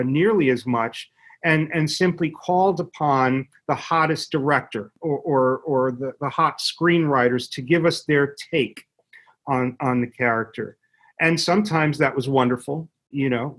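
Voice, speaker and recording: A man speaking as an expert interview guest, explaining conversationally in a halting, emphatic way and repeating words for effect. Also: medium pitch. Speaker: interview guest, media company executive.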